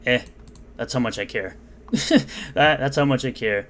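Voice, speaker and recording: A man's voice: mid-range voice, normal speed, fairly bright tone, slight background noise. A man reading out and mocking comments in an unscripted, sarcastic rant. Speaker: commentary YouTuber.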